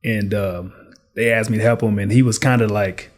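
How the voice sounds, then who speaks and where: A man's voice: on the low side, moderate pace. Easygoing man telling his life story. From Dallas and Chicago. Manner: relaxed, easygoing storytelling with laughs. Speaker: guest, business owner and retired boxer. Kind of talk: casual interview storytelling.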